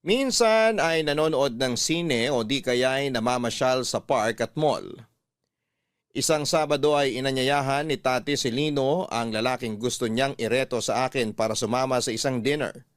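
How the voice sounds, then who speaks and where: A man's voice: mid-range voice, normal speed, studio quality. Male storyteller with echoing voice. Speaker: radio drama narrator. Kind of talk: scripted first-person story narration.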